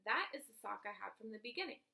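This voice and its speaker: A woman voicing an excitable, chatty schoolboy in a storybook, eager and emphatic, always rambling. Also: on the high side, fast, creaky, heavily acted. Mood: bewildered, excited.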